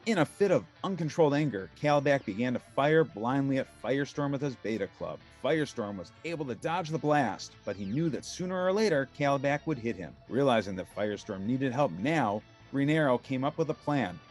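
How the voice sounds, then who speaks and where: Lively, dramatic male storybook narrator. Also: medium pitch, brisk, faint music behind. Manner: dramatic, emphatic.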